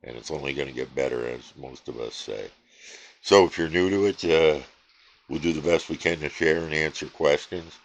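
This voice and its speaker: A man casually chatting about his radio hobby at his home station, relaxed and rambling with many fillers. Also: a bass voice, normal speed. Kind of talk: casual chat to camera.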